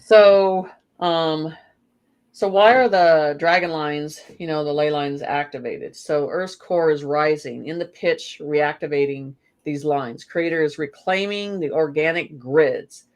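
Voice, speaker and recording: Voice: mid-range voice, unhurried. A guest in a spiritual conversation, talking in a meandering way with frequent "you know" fillers.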